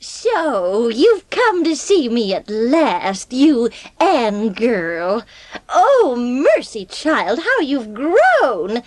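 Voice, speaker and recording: A woman playing a wealthy old aunt, gruffly affectionate and emphatic, in a dramatised audiobook. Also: a little high, wildly swooping pitch, no room sound, exaggerated voice. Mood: delighted, teasing. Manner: slow, emphatic, exclaiming.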